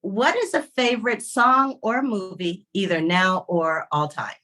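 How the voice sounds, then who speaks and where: A woman's voice: medium pitch, a little slow. Encouraging woman podcast host. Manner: encouraging, expressive, breaks into playful put-on voices. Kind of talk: warm interview hosting.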